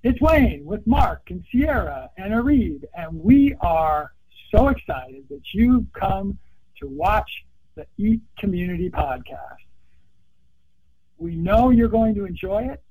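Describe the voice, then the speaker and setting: A man's voice: high voice, animated, slow, mellow, husky, rough recording. Man, podcast host, upbeat, chatty, video call. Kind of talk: webinar interview.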